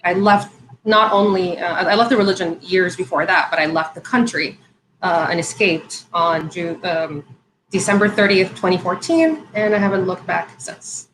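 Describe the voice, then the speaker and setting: A woman's voice: lowish voice, moderate pace, a bit bright, reverberant, a little hiss or hum, low-quality recording. A woman in her thirties from Saudi Arabia, a guest telling her story in a casual interview, talking with many fillers and restarts. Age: 30s.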